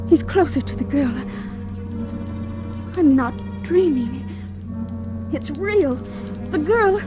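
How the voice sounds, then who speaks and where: High-voiced, panicked young woman, radio horror. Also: full character voice. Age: young adult. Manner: breathless, halting, repeating herself. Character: panicked, fragile young woman haunted by a picture. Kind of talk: 1940s radio horror acting, monologue. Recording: old radio drama with music underneath.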